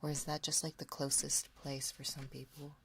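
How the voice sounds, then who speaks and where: A woman hosting an ASMR livestream, chatting casually in a soft, hushed voice that often slips into whispers, close to a binaural microphone. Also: sits low, little pitch movement, normal speed. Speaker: livestream host. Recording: close binaural capture with faint noise. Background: faint noise, soft clicks of a ticking clock.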